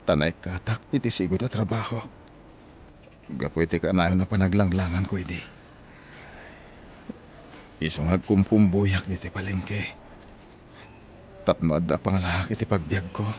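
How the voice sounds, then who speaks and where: A man's voice: deep voice, normal speed, pauses often, a little mellow, dull audio, slight background noise, lightly acted. A man playing a regretful, humble estranged father in a radio drama, with an Ilocano accent. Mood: apologetic, sad. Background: music bed.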